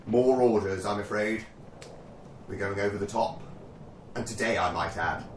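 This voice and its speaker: An actor playing a jolly, pompous upper-class British army captain in a radio play, with plummy, politely hesitant speech. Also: male voice, on the low side, moderate pace, lightly acted. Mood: businesslike. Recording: roomy, distant mic, faint noise.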